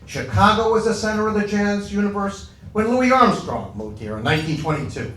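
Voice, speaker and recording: A man's voice: high voice, lively intonation, a little fast, fairly bright tone. An American man playing a brash, proud old Chicago union boss in a stage monologue, punchy and colloquial. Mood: enthusiastic. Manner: colloquial, punchy, self-justifying storytelling. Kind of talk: first-person dramatic monologue on stage. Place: echoey event hall before an audience. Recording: echoey hall, distant mic, music.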